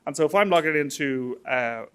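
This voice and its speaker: A man's voice: a little high, brisk, a bit bright, smooth. Man giving an informal tech talk. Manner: conversational, hesitant with frequent "like" and "you know". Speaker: tech meetup speaker. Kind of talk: informal technical conference talk.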